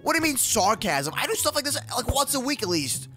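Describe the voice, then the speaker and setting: A man playing an excitable, slangy, wisecracking adventurer in a video game roleplay. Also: very high-pitched, fairly quick, soft background music, exaggerated voice. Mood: sarcastic banter. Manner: slangy, jokey, stammering. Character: excitable, wisecracking young adventurer with a pet companion. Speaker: YouTube roleplay creator. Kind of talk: improvised game roleplay acting.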